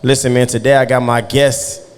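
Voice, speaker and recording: A man's voice: mid-range voice, little pitch movement, moderate pace, clear, resonant tone, big-room sound. A man hosting a casual podcast interview, laid-back and slangy, often asking "you know what I'm saying.". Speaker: podcast host.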